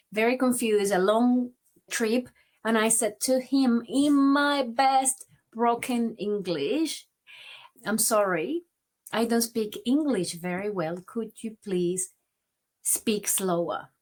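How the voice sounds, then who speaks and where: Reflective Venezuelan-accented woman. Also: on the high side. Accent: Venezuelan Spanish. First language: Spanish. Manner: reflective, many "you know" fillers. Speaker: guest. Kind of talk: personal storytelling.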